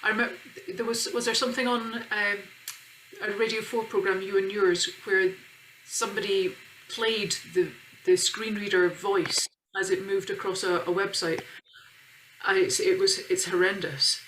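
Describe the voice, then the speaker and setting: A woman's voice: mid-range voice, fairly even intonation, moderate pace, fairly bright tone, a little hiss or hum, poor audio. A woman on an online panel discussion, talking chattily and emphatically, a little self-deprecating. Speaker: panel participant.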